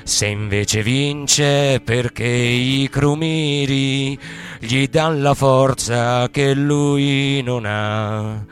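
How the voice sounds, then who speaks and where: A man sings a folk protest ballad in a storytelling style. His delivery is very slow and drawn out, in a medium-pitched voice, with music accompanying him. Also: steady tone. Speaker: singer and theatre performer. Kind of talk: narrative Italian folk protest singing.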